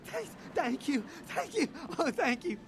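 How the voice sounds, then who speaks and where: A man voicing a meek, frightened young male vampire in a video game, stuttering tearfully and pleading in halting apologies. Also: extremely high pitch, big pitch swings, over music, heavily acted. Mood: desperate pleading. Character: terrified, meek, stammering young male vampire begging for mercy.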